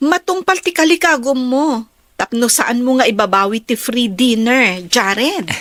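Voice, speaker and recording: Admiring, friendly young working woman, voiced by an actress for Ilocano radio drama; very high voice, dry studio sound. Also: takes it slowly, a bit bright, dry recording. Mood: playful.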